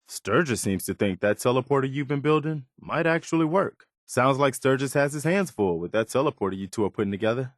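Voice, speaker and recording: An actor playing an earnest, weary militia soldier in a video game, loyal and humble, with a sincere, plain-spoken manner. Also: male voice, mid-range voice, expressive, fairly quick, hardly any pauses, dry recording, studio quality, lightly acted. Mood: friendly, encouraging. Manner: sincere, plain-spoken, hesitant when opening up. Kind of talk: video game character dialogue.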